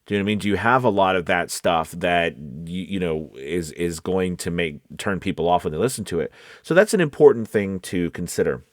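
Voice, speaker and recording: Low-voiced man, dry studio sound. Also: animated, a little fast, dry recording. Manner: conversational, checks in with listeners often. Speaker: voice-over coach and host. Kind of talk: casual talking-to-camera tutorial.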